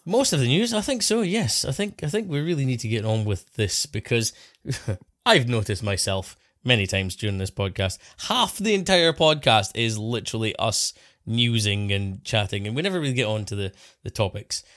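A man's voice: mid-range voice, moderate pace, no room sound, studio quality. A man from England co-hosting a podcast, telling stories with wry humour and frequent laughs. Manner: animated storyteller, laughs often, wry. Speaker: co-host. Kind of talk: casual podcast chat.

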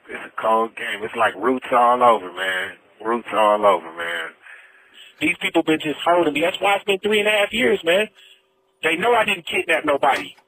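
An American man making an emphatic, repetitive, impassioned plea over a prison phone line. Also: mid-range voice. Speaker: prisoner telling his story. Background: music.

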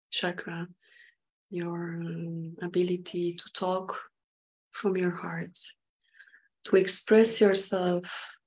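A woman leading an unscripted guided meditation in short halting phrases, with a breathy voice that sometimes nears a whisper. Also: on the low side, a little mellow, rough recording.

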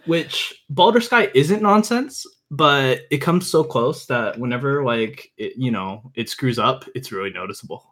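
A man's voice: mid-range voice, expressive, a little slow, few pauses, a bit bright. A young man appearing as a podcast guest, chatting casually in an informal, opinionated way. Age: young adult. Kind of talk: casual chat.

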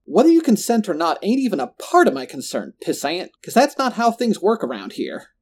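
A man's voice: high-pitched, huge pitch swings, fairly quick, a little mellow, pitched above the performer's own voice, full character voice. A man voicing a menacing, gloating, monstrous middle manager in a horror story, with a folksy, pompous, pontificating manner. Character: menacing, gloating, grotesque monstrous male mall assistant manager.

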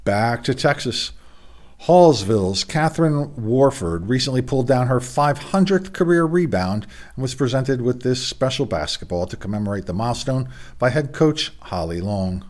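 A middle-aged man with a hoarse voice, hosting a casual sports roundup from a home studio, with rambling, self-deprecating asides. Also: mid-range voice, expressive, normal speed, darkish tone. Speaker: sports podcast host.

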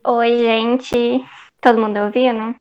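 A woman's voice: a little high, level tone, slow, darkish tone, dull audio, slight background noise. A young woman with a Brazilian accent, a student casually introducing herself to a group. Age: young adult. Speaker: book club member, student.

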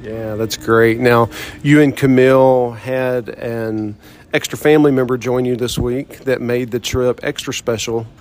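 A man's voice: mid-range voice, fairly even intonation, a little slow, a little mellow, a little hiss or hum. Conversational male podcast host. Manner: friendly, conversational questioning. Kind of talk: podcast interview.

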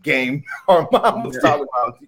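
A man's voice: a little high, expressive, normal speed, a bit bright, slight background noise. A man co-hosting a podcast, chatting casually and asking questions in a jokey, colloquial way. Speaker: podcast co-host. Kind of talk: casual chat and interviewing.

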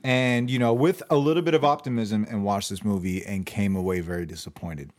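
A man's voice: fairly low pitch, brisk. A man hosting a casual movie chat, teasing his friends and leading the questions. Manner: animated, teasing, leads the questions.

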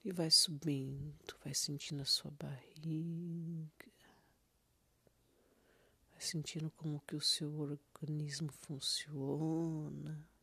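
A meditation guide with a Brazilian accent gives gentle, soothing instructions in a breathy near-whisper, leaving long pauses between prompts. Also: medium pitch, flat delivery, no echo.

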